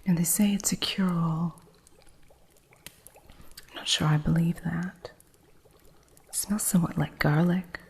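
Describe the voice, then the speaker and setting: A woman playing a female witch shopkeeper who teaches an apprentice, in a soft whisper with dry humor, for an ASMR roleplay. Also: on the low side, steady tone, a little slow, creaky, pauses often, a little hiss or hum. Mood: hushed.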